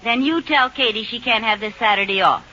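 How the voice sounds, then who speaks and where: A scatterbrained American housewife in an old radio comedy. Her voice is high and very sharp, swinging theatrically between demanding and timid. The recording is dull, with some hiss. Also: fairly quick. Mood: pleading. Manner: theatrical, exasperated, pleading. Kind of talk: 1940s radio comedy acting.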